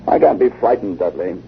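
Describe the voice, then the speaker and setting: An actor playing a sceptical, uneasy gentleman in a 1950 radio drama, with a formal, hesitant, incredulous way of speaking. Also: sits low, gravelly. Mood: nervous, hesitant. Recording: noisy, muffled old radio broadcast.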